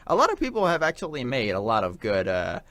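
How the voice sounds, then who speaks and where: A man's voice: medium pitch, huge pitch swings, quick pace, a bit bright, dry recording. A young adult man chatting casually about video games as a talk show co-host, in an eager, rambling, informal way. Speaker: college radio show co-host.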